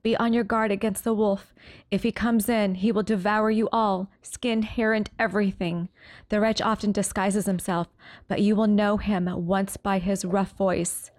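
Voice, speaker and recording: A woman's voice: medium pitch, flat delivery, normal speed, darkish tone, no room sound, clean studio sound, natural voice. A woman voicing a loving old mother goat who speaks in an earnest, cautioning way in a storybook reading. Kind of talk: storybook character voice.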